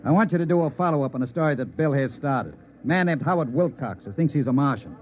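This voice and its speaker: An actor playing a suspicious newspaper boss in 1950s American radio drama, curt, sardonic and skeptical. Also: male voice, a little high, animated, fast, natural voice. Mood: cold, businesslike. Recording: dull, noisy old radio recording.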